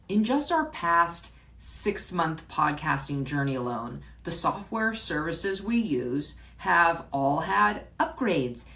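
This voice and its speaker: A woman's voice: mid-range voice, fairly bright tone. A woman hosting her own podcast, talking alone to her listeners in a chatty way, often repeating words, restarting her sentences and laughing now and then. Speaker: podcast host. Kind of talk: solo podcast reflection.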